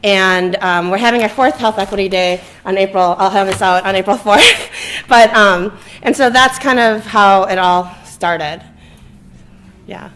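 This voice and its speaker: A woman speaking informally as a community advocate on a panel, pausing often as she goes. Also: mid-range voice, fairly bright tone. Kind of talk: informal public panel talk. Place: indoor library event.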